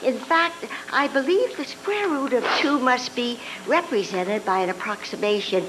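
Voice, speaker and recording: An older actress voicing a chatty, eager kid sister in a live old-time radio drama reading. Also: female voice, on the high side, moderate pace, music in the background, close to an everyday voice, low-quality recording. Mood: rambling. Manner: bright, rambling, eager. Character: Patsy, chatty kid sister with math talk. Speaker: actress in a live reader's theatre. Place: hotel ballroom stage. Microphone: far camera. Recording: audience video in a ballroom, hum.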